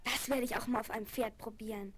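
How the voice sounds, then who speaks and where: A woman playing a quiet, gentle, patient young girl in a 1970s German children's radio play. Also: on the high side, steady tone, fast talker, very noisy, natural voice. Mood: playful. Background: music.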